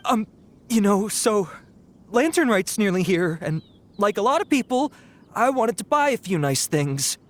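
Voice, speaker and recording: A man's voice: sits high, sing-song, a little slow, a little hiss or hum. A man voicing a cowardly young bandit in a video game, nervous and stammering, pleading and sheepish. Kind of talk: dramatic video game voice acting.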